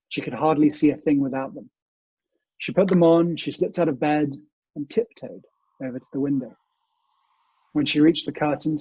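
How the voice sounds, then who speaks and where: A man, a guest reader who chats casually and reads storybooks aloud in a measured, expressive way over a video call. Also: on the high side, slightly rough. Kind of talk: storybook reading and casual chat. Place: indoors, remote online session. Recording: muffled, echoey video call audio.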